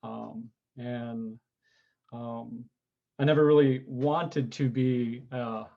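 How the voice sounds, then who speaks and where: A California man in his forties, speaking, answers interview questions in a relaxed, reflective way. His voice sits in a middle register and comes through slightly dull and lo-fi. He often pauses and restarts his sentences. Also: mid-range voice, vocal fry. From United States, California. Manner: thoughtful, unhurried, frequent ums and restarts. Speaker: interview guest, historian. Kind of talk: conversational interview.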